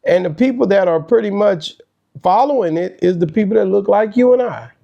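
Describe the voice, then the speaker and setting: Gravelly, mellow-voiced man hosting. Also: high-pitched, lively intonation, brisk, dull audio. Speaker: talk show host. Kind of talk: casual conversational commentary.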